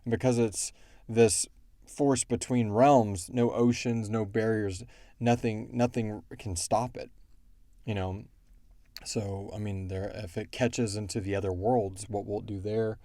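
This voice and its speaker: Man, low relaxed voice, very dry close sound, casual rambling explainer of fictional lore. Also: unhurried, dry recording. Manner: rambling, quotes characters in brief asides. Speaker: show creator answering listener questions.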